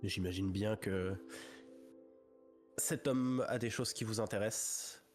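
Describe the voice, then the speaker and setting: Man playing a wary young debtor's son, lowish voice, halting, hedging, faint music behind. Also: a little mellow. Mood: guarded. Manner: halting, hedging, many restarts. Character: wary young man bargaining over his father's debt. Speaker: game master. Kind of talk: voicing a character in a tabletop game.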